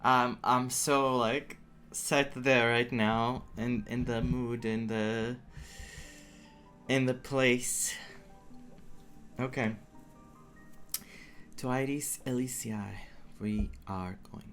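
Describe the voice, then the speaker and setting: A man giving informal, halting, reactive commentary as he plays through a video game. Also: medium pitch, fairly even intonation, a bit bright, soft background music. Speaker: gaming streamer. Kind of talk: casual playthrough commentary.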